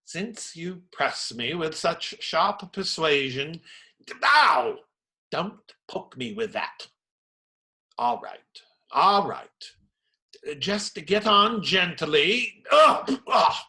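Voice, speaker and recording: A man acts out a burly, pious friar in a radio play, his voice high and bright, full of theatrical indignation. He delivers mocking little sermons at a slow, deliberate pace. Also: very animated, heavily acted. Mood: flustered, protesting.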